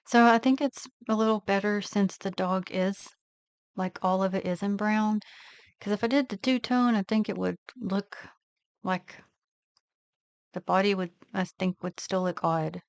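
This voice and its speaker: A woman vlogger casually narrating her nail art step by step, thinking aloud in a relaxed, unhurried way. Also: medium pitch, no echo, clean studio sound.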